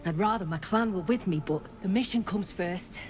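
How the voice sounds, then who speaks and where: A woman's voice: medium pitch, moderate pace, a little mellow, soft background music, close to an everyday voice. A woman playing a defiant, foul-mouthed gnome freedom fighter in a video game, blunt, combative and cynical. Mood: urgent bargaining.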